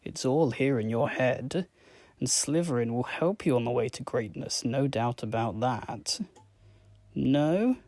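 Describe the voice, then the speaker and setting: A woman reading a storybook, voicing a pondering old magical talking hat with a slow, drawn-out, musing delivery. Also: a bass voice, darkish tone, dry recording, pitched below the performer's own voice, exaggerated voice. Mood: coaxing, musing. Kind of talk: storybook read-aloud character voice.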